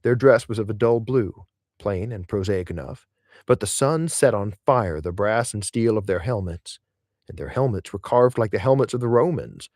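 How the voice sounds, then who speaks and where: A man's voice: fairly low pitch, lively intonation, moderate pace, a little mellow, dry recording, studio quality. A man reading literary essays aloud as an audiobook narrator, with theatrical, emphatic phrasing. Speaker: audiobook reader.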